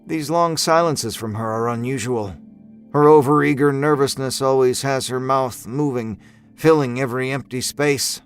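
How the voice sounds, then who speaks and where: A man narrating a first-person horror story in a measured, brooding, expressive way. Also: mid-range voice. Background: music score.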